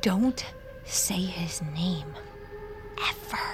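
A woman's voice: on the low side, drawn-out words, over music. An actress playing an eccentric, brusque and superstitious woman who is an occult expert, speaking in a pompous, theatrical manner in an audio drama. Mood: hushed, grave. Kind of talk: audio fiction drama acting.

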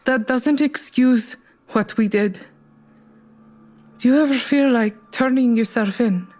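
A woman's voice: a little high, slow, darkish tone. An actress playing a troubled, guilt-ridden woman who keeps pressing, in an audio drama.

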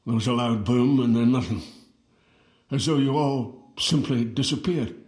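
An actor playing an aged, weary twin brother in an audio drama, speaking in a halting, wry, reflective way. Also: male voice, medium pitch, darkish tone, roomy sound, natural voice. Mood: measured, wry. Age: older. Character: aged, weary twin brother reunited with his lost sibling.

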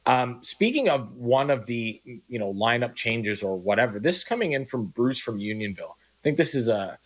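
A man's voice: mid-range voice, sing-song, moderate pace, rough recording. Mid-voiced man co-hosting sports radio. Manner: conversational, reads listener texts. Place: radio studio. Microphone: studio mic.

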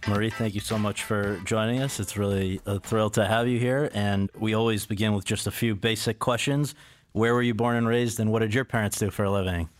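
A man's voice: fairly low pitch, steady tone, brisk, soft background music. A man hosting an interview podcast, recorded in an office. He moves from a scripted introduction into easy, conversational questions. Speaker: podcast host.

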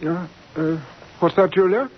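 A man's voice: high voice, measured pace. A man playing a flustered, henpecked, lovesick doctor in an old-time radio drama, hesitant and blustering. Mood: flustered, evasive. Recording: dull lo-fi vintage radio recording.

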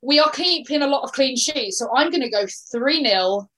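A woman's voice: high voice, expressive, normal speed, a bit bright, slight background noise. A woman, a guest on a football podcast, talking with fervent, emphatic passion about her team. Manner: passionate, emphatic supporter talk. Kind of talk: casual podcast chat.

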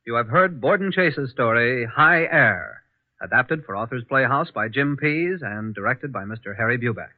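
A man announcing credits in a formal, measured 1940s radio style. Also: mid-range voice, animated. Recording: tinny 1940s radio recording.

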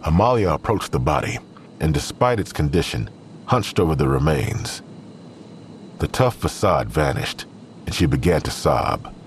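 Very deep-voiced male crime-drama narrator. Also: expressive, normal speed, slight background noise.

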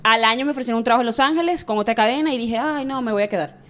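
A Venezuelan woman in her late thirties, a sports journalist telling stories candidly in a relaxed interview. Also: a little high. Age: 30s. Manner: chatty and candid, rapid storytelling. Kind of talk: casual interview chat.

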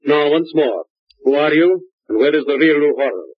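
An actor playing a suave, scheming male crook in a 1940s radio drama, smooth and menacing. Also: fairly high pitch, moderate pace, no room sound. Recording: dull old radio recording.

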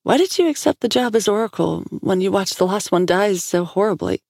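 A woman's voice: mid-range voice, expressive, a little fast, gravelly, a little mellow, no echo, clean studio sound, voice pushed up. A woman voicing a blunt, practical female team member with a direct, colloquial way of talking. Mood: probing.

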